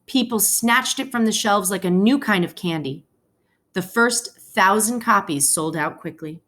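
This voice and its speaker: A woman teacher reading a picture book aloud as a storybook narrator, phrasing deliberately with pauses for young listeners. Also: mid-range voice, fairly bright tone.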